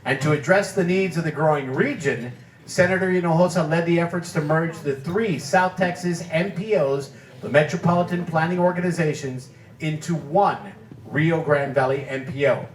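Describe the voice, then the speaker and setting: A man presenting an award, reading a prepared speech full of facts and figures in a measured way. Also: a little high. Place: banquet hall. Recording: echoey hall, distant mic.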